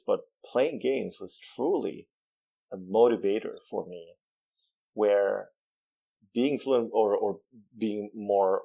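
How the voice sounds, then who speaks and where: A man's voice: lowish voice, creaky, mellow. A man from Venezuela whose first language is Spanish, a game developer explaining his project in a casual interview, thoughtful with frequent restarts. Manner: thoughtful, many restarts and fillers.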